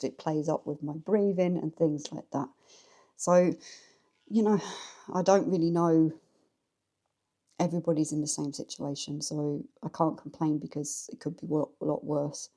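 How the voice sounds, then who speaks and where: Chatty low-voiced English woman. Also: mellow. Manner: chatty, frank, talks straight to viewers. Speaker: vlogger. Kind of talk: casual chat to camera. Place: her living room at home. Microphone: camera set up in the room.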